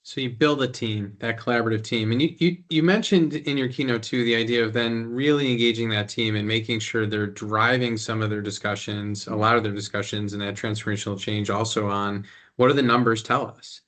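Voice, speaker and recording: A man's voice: lowish voice, fairly even intonation, brisk, a bit bright. Man host posing interview questions. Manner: recaps guest's points before asking. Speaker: podcast host.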